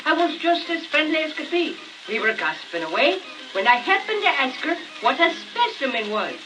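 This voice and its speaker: A man's voice: extremely high pitch, sing-song, moderate pace, pitched above the performer's own voice. A man voicing a doting, feisty Irish housewife with a gossipy, effusive manner on an old comedy record. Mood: indignant gossip. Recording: noisy crackling 78 rpm record, distant.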